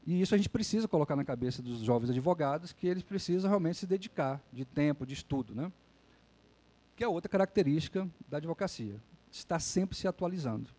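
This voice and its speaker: A male lawyer delivers a public talk in a relaxed, conversational way, often checking in with his listeners through short tag questions. His voice sits a little high and has a soft, mellow tone. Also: brisk. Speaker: lawyer and lecturer. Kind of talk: public lecture.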